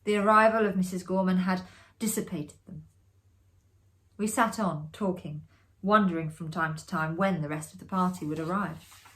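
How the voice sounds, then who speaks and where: A woman giving a dramatic, expressive reading of a classic ghost story as a storyteller. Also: on the low side, normal speed. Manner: expressive, acting out the dialogue. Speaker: storyteller, amateur theatre performer.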